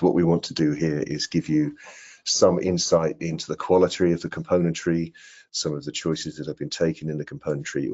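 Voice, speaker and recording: Older male presenter, rock-bottom husky register, measured webinar delivery, muffled call audio. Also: very deep voice, darkish tone. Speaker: product trainer. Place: indoor room on a video call.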